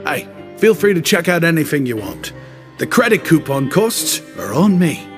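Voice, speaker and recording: A man voicing a flattering young shopkeeper who talks in an effusive, ingratiating way. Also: fairly high pitch, moderate pace. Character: flattering young male shopkeeper, smooth talker. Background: game music.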